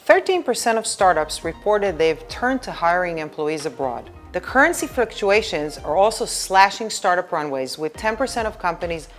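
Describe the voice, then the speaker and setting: Woman with a lively, lowish voice. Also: normal speed, hardly any pauses. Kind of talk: scripted insight delivery. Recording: faint music underneath.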